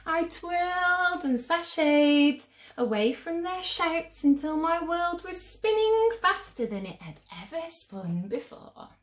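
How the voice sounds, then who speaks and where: A woman reading a picture book aloud to children with theatrical, drawn-out emphasis. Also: very high-pitched, sing-song, reverberant. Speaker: children's story reader.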